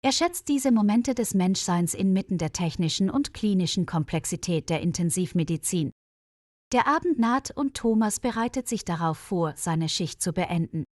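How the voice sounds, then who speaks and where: A woman narrating a scripted story for language learners in a clear, measured, evenly paced reading voice. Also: on the low side, expressive, darkish tone, dry recording, clean studio sound.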